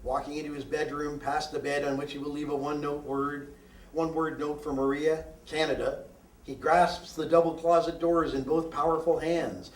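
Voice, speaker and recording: A man with a slightly high voice recites poetry in a roomy, echoing space. His delivery is declamatory and deadpan, with deliberate, emphatic phrasing. He sounds some way off from the microphone. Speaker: poet reading own work. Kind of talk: live poetry reading, declamatory. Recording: roomy echo, distant microphone.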